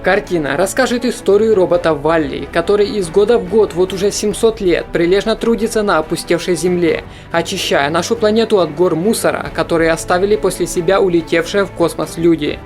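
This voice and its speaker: A narrator reading a scripted countdown of animated films in a steady, informative voice-over. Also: mid-range voice, fairly quick, few pauses, soft background music. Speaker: film channel narrator.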